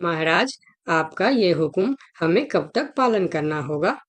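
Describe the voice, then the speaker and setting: A woman voicing an animated, anxious cartoon animal. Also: fairly low pitch, measured pace. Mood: worried. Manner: pleading, respectful. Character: anxious, deferential jungle animal subject. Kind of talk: Hindi animal cartoon dialogue.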